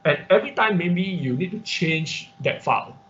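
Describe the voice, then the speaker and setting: A man teaches an informal live online tutorial, explaining haltingly with repetition and frequent check-in questions. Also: on the high side, animated, fairly bright tone. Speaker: instructor of an online robotics class. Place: online class call. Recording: dull, muffled online-class audio.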